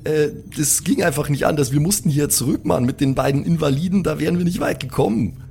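A man's voice: a little high, quick pace, creaky, darkish tone, slight background noise. A man playing a brash young superhero buddy in a tabletop game, talking in casual, slangy street talk. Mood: apologetic, defensive.

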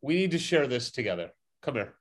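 A man's voice: medium pitch, very animated, brisk. A young man hosting a podcast, telling a story in a joking, teasing way. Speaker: podcast host. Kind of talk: casual storytelling chat.

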